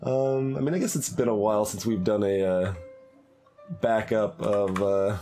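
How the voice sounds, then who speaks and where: A man giving casual, rambling commentary as he plays a game, halting and thinking aloud as he speculates, with game music behind him. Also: on the low side, steady tone, mellow. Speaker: streamer doing a blind voiced playthrough. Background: video game music.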